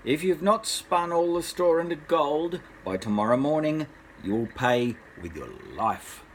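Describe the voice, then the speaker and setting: A man voicing a greedy, stern king in a storybook, giving orders in a deliberate, imperious way. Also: on the high side, slight background noise, lightly acted. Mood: stern, threatening.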